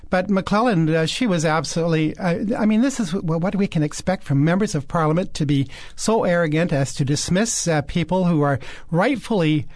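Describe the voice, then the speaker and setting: A man co-hosting a radio health show, talking opinionatedly with many fillers and pauses. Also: fairly high pitch, a little mellow, no room sound. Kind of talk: casual radio talk. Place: radio studio.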